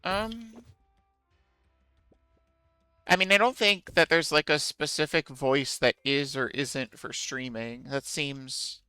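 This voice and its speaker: A man's voice: fairly high pitch, slow pace, long pauses, pushed and bright, smooth, no room sound, faint music behind. A man streaming a game, chatting casually with viewers in a musing, self-deprecating way.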